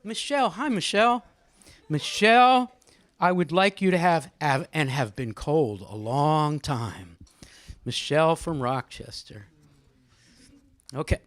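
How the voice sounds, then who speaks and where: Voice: medium pitch, animated, unhurried, long pauses, no echo. Informal, teasing event host, dry close sound. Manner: teasing, conversational, calling on people by name. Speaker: host leading a group poem discussion. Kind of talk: informal live moderating, with some poetry reading. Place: indoor room at a live gathering.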